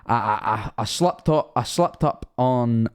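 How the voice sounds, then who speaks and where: A man's voice: medium pitch, expressive, a little slow, clear, resonant tone. A Scottish man of 21 streaming casually, rambling and self-mocking, swearing often.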